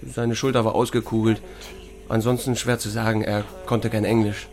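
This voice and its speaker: An actor playing a dry, steady small-town sheriff, narrating and talking in a radio drama. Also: male voice, on the low side, normal speed, faint music behind, lightly acted. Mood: calm. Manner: dry, understated. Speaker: narrator and lead character.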